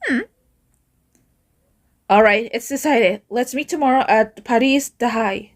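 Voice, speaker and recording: A woman's voice: a little high, measured pace. A woman acting out scripted fantasy game dialogue as an amateur voice actor. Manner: acted, reading scripted lines.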